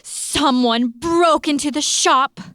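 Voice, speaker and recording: A woman playing a distraught, melodramatic shopkeeper in a comic radio play, with a plaintive, drawn-out delivery. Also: sits high, fairly bright tone.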